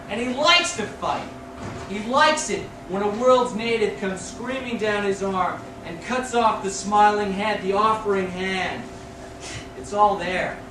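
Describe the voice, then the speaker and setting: A man reading poetry aloud as a poet, declaiming in short, deliberate phrases. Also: sits high. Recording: distant echoey room recording with noise.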